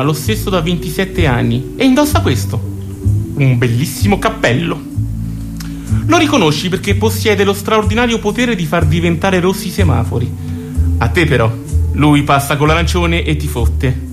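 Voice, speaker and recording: A man giving scripted mock-documentary narration in a theatrical, mock-solemn manner. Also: sits high. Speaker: actor narrating comic character portraits. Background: music.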